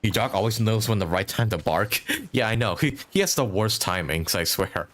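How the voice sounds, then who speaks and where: Low-pitched man chatting while gaming. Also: lively intonation, moderate pace, fairly bright tone. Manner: thinks aloud, chatty with viewers. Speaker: live streamer playing a video game. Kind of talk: casual gameplay commentary.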